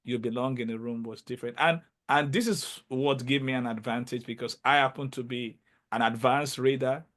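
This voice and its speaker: A man hosting an interview podcast, speaking with enthusiasm and emphasis, often halting and repeating words. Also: medium pitch, fairly even intonation, fairly bright tone. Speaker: podcast host.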